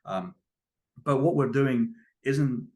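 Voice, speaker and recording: A man's voice: medium pitch, slow pace, dark tone. A man with a Scottish accent answering interview questions as a company founder, conversational and emphatic, leaning on key phrases. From Scotland. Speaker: guest, tech company founder and CEO.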